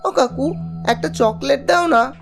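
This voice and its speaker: A man's voice: extremely high pitch, measured pace, creaky, soft background music, voice pushed up, heavily acted. A man voicing a small boy who pesters his uncle in a pleading, coaxing tone.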